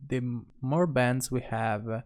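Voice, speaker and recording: A man narrating a software tutorial as an instructor, speaking haltingly and pausing between short phrases. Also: medium pitch, a little hiss or hum.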